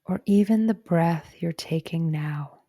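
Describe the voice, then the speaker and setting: Slow, soft woman guiding meditation. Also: fairly low pitch, no room sound, clean studio sound. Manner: gentle, calm, soothing, soft breathy phrase endings. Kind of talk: guided meditation narration.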